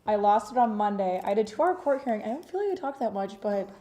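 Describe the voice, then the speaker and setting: Deep voiced woman, kinda hoarse, chatty and teasing, mellow tone. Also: expressive, fast talker, slight background noise. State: hoarse, recovering from lost voice. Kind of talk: casual chat.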